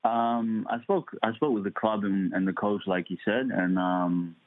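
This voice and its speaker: A man's voice: on the low side, a little slow, dry recording. A young man, a footballer born in Kenya and raised in Adelaide, answering interview questions with many hesitant ums. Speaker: footballer guest. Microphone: phone call. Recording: tinny phone line.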